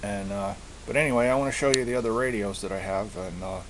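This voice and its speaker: A man giving a casual show-and-tell of his gear, speaking haltingly and often repeating himself as he searches for words. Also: on the low side. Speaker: hobbyist presenter. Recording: hissy amateur home recording.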